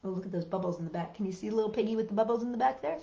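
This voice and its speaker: A woman's voice: medium pitch, very fast, creaky, mellow, a little hiss or hum. A woman hosting a children's storytime, reading aloud with exclamations and chatty asides addressed directly to young listeners. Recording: roomy, dull lo-fi sound, faint music.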